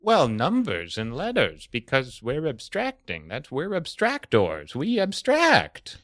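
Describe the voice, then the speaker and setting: A man improvising a pompous, exasperated senior puppeteer in a comedy sketch. He scolds with emphasis, his pitch jumping around widely. The sound is dry and close. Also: wildly swooping pitch, slow pace, no echo, exaggerated voice. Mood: scolding, emphatic.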